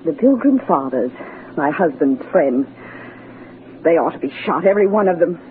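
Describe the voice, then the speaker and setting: Imperious, needy wife, dramatic 1940s radio delivery, muffled slightly noisy recording. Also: female voice, low voice, lively intonation, normal speed. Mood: bitter. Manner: dramatic, insistent. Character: bored, imperious, needy femme fatale wife. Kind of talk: 1940s radio melodrama acting. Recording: dull 1940s radio recording, light noise.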